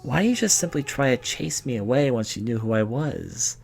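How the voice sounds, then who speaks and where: A man voicing an earnest, protective young female student in a video game, pleading and halting as he reads the dialogue aloud. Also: medium pitch, expressive, gravelly, a little mellow, soft background music.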